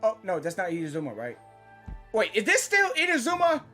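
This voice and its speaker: Animated, exclamatory woman reacting to games. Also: mid-range voice, brisk, faint music behind. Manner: exclamatory, repeats what is heard in astonishment. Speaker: reaction video host. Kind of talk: excited casual game reaction commentary.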